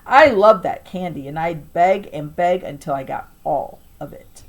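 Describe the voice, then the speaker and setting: A woman teacher reading a children's novel aloud to a class with expressive, emphatic and deliberate storytelling delivery. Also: on the low side, low-quality recording.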